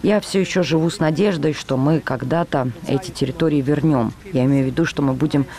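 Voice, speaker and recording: A man calmly reading a translated news voice-over. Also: fairly high pitch, brisk.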